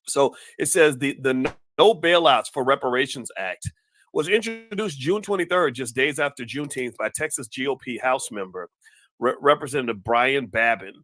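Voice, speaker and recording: A man hosting a news commentary show. He talks in an animated, rambling way and often repeats words. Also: medium pitch, moderate pace. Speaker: host.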